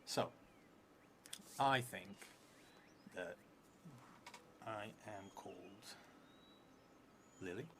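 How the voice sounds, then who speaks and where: A man in his thirties thinking aloud in a casual, hesitant way as he explains a solo tabletop game on a live stream. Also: on the low side. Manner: hesitant, halting. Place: indoor theatre room, seated at a table. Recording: clearly noisy live stream room.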